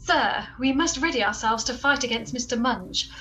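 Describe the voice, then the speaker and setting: A woman playing an earnest, bossy gadget-expert sidekick in a comedic radio play, brisk and deadpan. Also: on the high side, a little hiss or hum.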